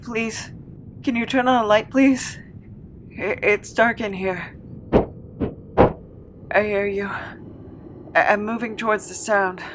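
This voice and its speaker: A woman in an audio drama playing a weary, frightened captive who speaks haltingly and pleads. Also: mid-range voice, fairly even intonation, noisy background, lightly acted. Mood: disoriented. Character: weary, frightened captive with a draining supernatural hunger.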